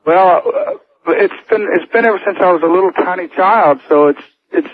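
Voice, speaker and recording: A man's voice: high voice, normal speed, no room sound. A man calling a radio show, giving a personal account in a hesitant, repetitive way. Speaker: anonymous radio caller. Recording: dry, thin telephone line on radio.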